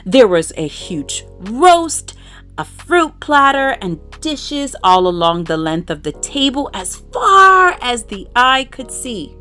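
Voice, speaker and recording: A woman reading a children's story aloud in an expressive way, drawing out words for dramatic emphasis. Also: on the high side, slow, faint music behind. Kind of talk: expressive read-aloud narration for young children.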